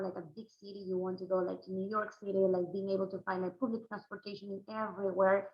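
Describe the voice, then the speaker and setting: A woman from Ecuador speaks English, easy and informal, leaning on "like" as she walks through her points. Her voice carries a gravelly fry. The sound is dull and lo-fi, typical of a video call. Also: medium pitch. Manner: informal, frequent "like" fillers. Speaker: international student advisor. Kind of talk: webinar presentation. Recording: dull lo-fi webinar audio.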